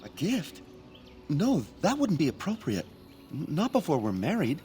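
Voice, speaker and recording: Timid lovesick young male elf, game dialogue, highish swooping voice, hesitant and easily frustrated. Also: wildly swooping pitch, measured pace, warm tone, slight background noise. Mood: doubtful. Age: young adult. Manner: hesitant, stammering, earnest. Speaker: video game character.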